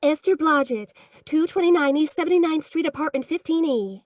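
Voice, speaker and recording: Lady doing prim ditzy radio schoolteacher, high voice, swoops all over. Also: lively intonation, a little fast, no echo, studio quality. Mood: breezy. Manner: flighty, proper. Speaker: actor in a radio play. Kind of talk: 1940s detective radio acting.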